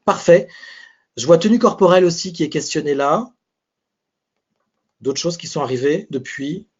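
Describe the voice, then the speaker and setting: A man's voice: on the high side, expressive, moderate pace, husky. A man leads a live webinar as a speaking coach, his slightly raised voice chatty and searching, restarting phrases as he thinks aloud. The audio comes through a dull computer microphone.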